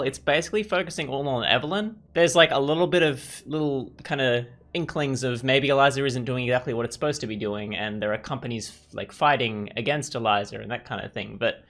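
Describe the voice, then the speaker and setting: Bright-voiced man doing casual gaming commentary. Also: mid-range voice. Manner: musing, informal. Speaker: gaming video host. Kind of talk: casual let's play commentary.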